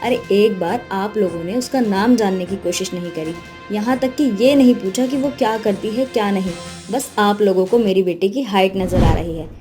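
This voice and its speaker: A woman's voice: medium pitch, normal speed, mellow, faint music behind, natural voice. A woman voicing a protective, loving mother in a moral story, firm and emphatic in manner. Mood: indignant, firm. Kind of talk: animated moral-story dialogue.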